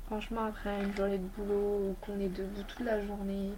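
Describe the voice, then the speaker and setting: A woman's voice: medium pitch, completely flat delivery, normal speed, mellow, slight background noise, rough recording. A woman beauty vlogger with a slight head cold, chatting casually and rambling through a review of her toiletries. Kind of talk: casual product review chat.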